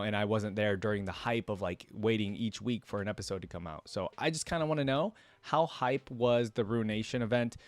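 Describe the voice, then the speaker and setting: Guy doing casual reaction commentary, average pitch, kinda rambly and emphatic, no room echo. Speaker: reaction video host. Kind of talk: casual unscripted commentary to viewers.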